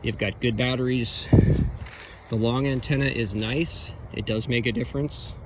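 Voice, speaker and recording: A man giving an informal, unscripted technical review, explaining things in a halting way. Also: medium pitch, steady tone, heavy background noise. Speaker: radio equipment reviewer.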